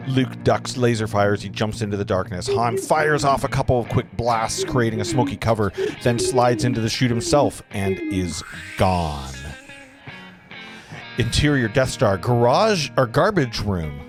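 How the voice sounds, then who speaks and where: A man's voice: mid-range voice, animated, unhurried. A man reading stage directions as narrator at a script table read, stumbling over words now and then. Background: clear guitar music.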